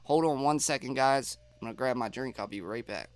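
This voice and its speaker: A man's voice: mid-range voice, brisk. Stuffy-nosed man chatting on a live stream. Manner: chatty, reads viewer comments aloud. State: stuffy nose. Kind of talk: casual live stream chat.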